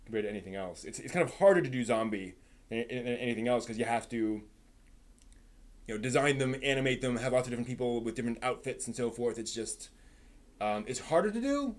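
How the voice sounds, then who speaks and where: A man hosting a casual live stream, thinking aloud in a halting, rephrasing way while giving emphatic opinions. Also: mid-range voice. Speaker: livestream host. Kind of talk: casual live-stream chat, answering viewers' comments.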